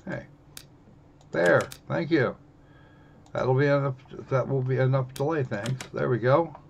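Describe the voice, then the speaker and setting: A man's voice: mid-range voice, a little slow, creaky. A man livestreaming a visual novel, giving casual live commentary into a slightly dull, noisy microphone.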